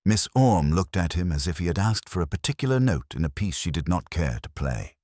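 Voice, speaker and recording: A man with an extremely low, somewhat husky voice narrates a literary mystery. His delivery is measured and wry, with expressive rises and falls in a dry, close sound. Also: no room sound.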